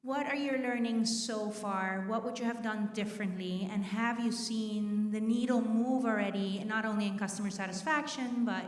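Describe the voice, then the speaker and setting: A woman in a conference audience asking a polite, measured question. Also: medium pitch. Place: large conference hall. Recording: distant, echoey hall audio.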